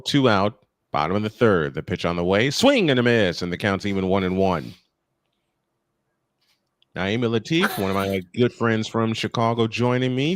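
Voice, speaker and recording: An older man doing live baseball play-by-play with folksy, playful banter between pitches. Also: no echo.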